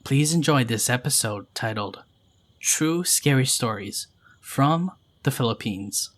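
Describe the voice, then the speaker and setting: Calm man reading scary stories. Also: mid-range voice, measured pace. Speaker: storytelling channel host. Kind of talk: scripted narration of scary stories.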